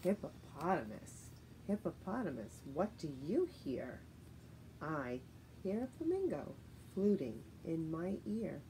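A woman reads a children's picture book in a lowish voice with very lively, sing-song pitch. She enunciates like a teacher addressing little ones. There is some light background noise in the home recording. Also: rough recording. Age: middle-aged. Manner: deliberate, sing-song, expressive reading with clear enunciation. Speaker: teacher reading aloud to young children. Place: living room at home, indoors. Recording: faint steady noise, home room recording.

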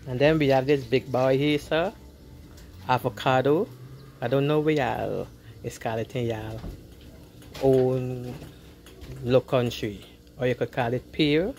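A woman's voice: a bass voice, steady tone, measured pace, long pauses, darkish tone. A woman with a Guyanese accent chatting casually to viewers while she cooks in her home kitchen, often repeating her words. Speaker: cooking vlogger. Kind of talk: casual cooking vlog chat. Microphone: handheld phone camera. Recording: noisy phone recording with frying sizzle.

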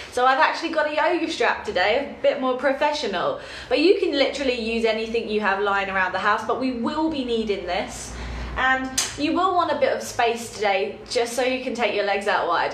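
Stuffy-nosed woman teaching yoga. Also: fairly high pitch. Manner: chatty, laughing asides, then slow calm cues. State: stuffy nose from hay fever. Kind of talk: casual yoga class instruction. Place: home room with yoga mat. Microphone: camera across the room. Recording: very echoey room, faint background hiss.

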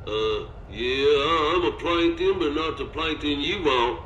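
A man's voice: on the high side, takes it slowly, highs cut off. A man voicing a nervous, literal-minded little plankton villain in a cartoon video game, hesitant and apologetic. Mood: hesitant, sheepish. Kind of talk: animated video game acting. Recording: roomy echo, clear game noise.

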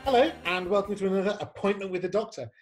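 Man, camp interview host, slightly high voice, playful, effusive, video call from home. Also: quick pace, soft background music.

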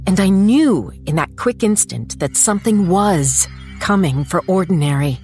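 A woman's voice: lowish voice, lively intonation, measured pace, a little mellow, faint music behind. A woman playing a determined, wry small-town police chief who narrates a dramatized audiobook in a firm, dry way. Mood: intense, foreboding. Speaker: narrator and lead character.

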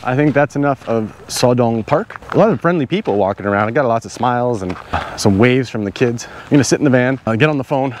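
A man's voice: medium pitch, lively intonation, quick pace, slight background noise. Easygoing man vlogging outdoors. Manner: easygoing, thinking aloud, unplanned. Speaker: travel vlogger. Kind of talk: casual travel vlog. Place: outdoors in parks and streets. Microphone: handheld camera at arm's length.

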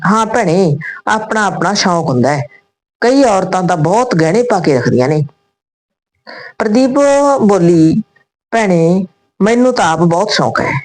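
A woman reading a scripted story and its dialogue in a deliberate, phrase-by-phrase manner. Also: on the low side, darkish tone, poor audio.

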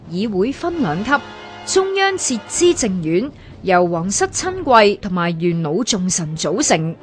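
Female radio history narrator, Hong Kong Cantonese. Formal read delivery, mid pitch with plenty of melody. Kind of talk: scripted narration.

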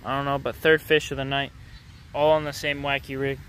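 A man's voice: medium pitch, level tone, fairly quick, a bit bright, slight background noise. Upbeat young man filming his fishing catches. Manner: casual, upbeat, talking to viewers between catches. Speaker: fishing vlogger. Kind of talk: casual handheld fishing vlog. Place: outdoors at a city pond edge. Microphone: phone camera held in hand.

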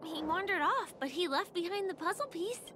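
A woman voicing an earnest, plain-spoken young female companion in a video game, explaining things clearly. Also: very high-pitched, lively intonation, a little fast, sharp tone, a little hiss or hum, full character voice. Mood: attentive.

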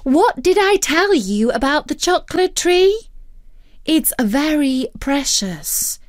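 A woman voices a bossy female dog from a storybook. She scolds slowly and deliberately, in a fairly high voice. The sound is dry and close. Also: lively intonation, no room sound. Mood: scolding. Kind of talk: children's storybook reading.

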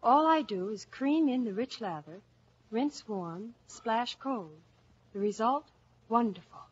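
A woman's voice: a little high, animated, slow, husky. A woman speaking in a scripted 1950s radio commercial, chirpy and enthusiastic, on a dull broadcast recording.

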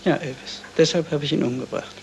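A man's voice: on the high side, steady tone, a little fast, slight background noise, lightly acted. An actor playing a bitter, resigned male suspect in a 1970s radio crime play, speaking in a clipped manner. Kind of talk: 1970s German radio crime drama acting.

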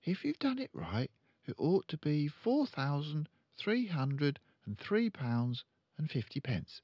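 Man as a gentle clever little girl, quiet delivery, raised pitch, storybook character, slightly muffled recording. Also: very animated, slow pace, breathy edge, no room sound, heavily acted. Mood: calm, gentle. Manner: quiet, gentle, matter-of-fact. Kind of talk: storybook read-aloud character voice.

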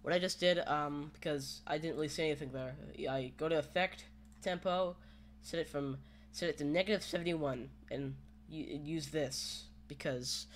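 Hesitant man with a slightly high voice. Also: moderate pace. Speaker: tutorial host. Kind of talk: casual screen-recorded software tutorial. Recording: home microphone with background noise.

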